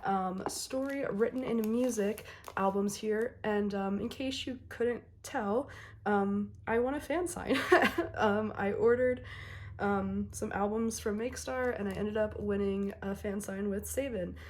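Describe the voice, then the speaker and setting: A young woman's hoarse, slightly worn voice fills this casual unboxing chat. She talks to her viewers in a loose, friendly way, filling her pauses with ums. Her excitement keeps showing through her scratchy throat. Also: mid-range voice. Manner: chatty, many "um"s, excited. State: hoarse, recovering from illness. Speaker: K-pop album unboxing vlogger.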